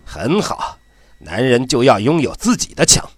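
A man's voice: mid-range voice, wildly swooping pitch, unhurried, heavy background noise. A man playing a hard-bitten, commanding army general who trains a recruit in an audio drama. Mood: commanding, approving. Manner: commanding, gruff-talking military instructor.

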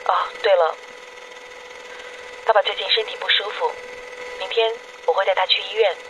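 A woman's voice: medium pitch, fairly even intonation, moderate pace, natural voice. A woman playing a devoted, wistful young girlfriend leaving affectionate, confiding voicemails in a radio drama. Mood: wistful, longing. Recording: dull noisy answering-machine message.

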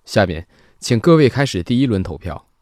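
A man playing an impassive official who makes formal, measured procedural announcements in an audio drama. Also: fairly low pitch, sing-song, darkish tone, no room sound, studio quality. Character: impassive official announcing a life-or-death vote.

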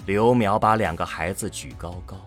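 A man's voice: low-pitched, moderate pace, faint music behind. A man narrating an audio drama from a script in a grave, dramatic manner.

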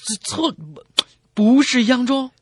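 A man's voice: very high voice, measured pace, dry recording, clean studio sound. A man co-hosting a comedy radio show in scripted banter, with a playful, exclamatory delivery.

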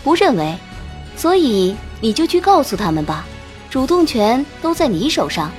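Woman acting a cool, quietly mocking young woman. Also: on the high side, moderate pace, soft background music. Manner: calm, dismissive, softly sarcastic.

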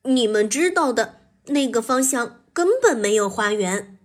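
A woman voicing an excitable, breathless young male rabbit in a children's story, with urgent exclamations. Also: fairly high pitch, measured pace. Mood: breathless, excited.

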